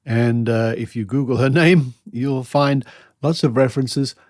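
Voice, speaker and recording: A man's voice: medium pitch, steady tone, unhurried, darkish tone, no echo. Australian man hosting, dry close sound. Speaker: podcast host, interviewer. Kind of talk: conversational podcast hosting.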